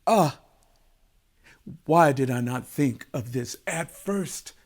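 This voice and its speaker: A man's voice: mid-range voice, lively intonation, slow. A man voicing an emotional village king in a told folktale, with a theatrical, exclaiming delivery. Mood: hopeful. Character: distraught, dramatic village king, pleading and wailing.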